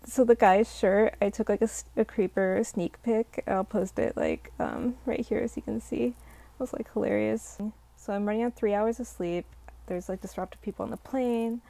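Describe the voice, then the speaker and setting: A young woman, slightly hoarse, telling a rambling casual storytime full of "like" fillers. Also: medium pitch, fairly even intonation, moderate pace, warm tone, dry recording. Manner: rambling, heavy use of "like" fillers. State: slightly hoarse from a sore throat. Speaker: vlogger.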